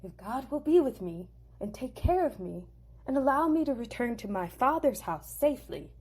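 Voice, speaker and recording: A woman's voice: high voice, sing-song, moderate pace, darkish tone, slightly rough, pitched above the performer's own voice, heavily acted. A woman voicing a timid young man on the run, speaking in a wide-eyed, breathless way. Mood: solemn.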